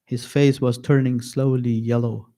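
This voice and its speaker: A man giving a mourning sermon at an Islamic center, slow and emphatic, repeating key phrases with pauses. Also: medium pitch, level tone, mellow.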